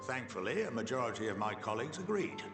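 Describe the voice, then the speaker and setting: An actor playing a genial, wry, avuncular elderly scholar in a video game cutscene, speaking with theatrical warmth. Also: male voice, mid-range voice, big pitch swings, fast talker, lightly acted. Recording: reverberant hall, music behind. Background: game music.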